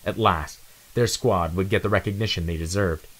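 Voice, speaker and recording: A man's voice: deep voice, moderate pace, a bit bright. A man giving a dramatic scripted reading of an adventure storybook as narrator.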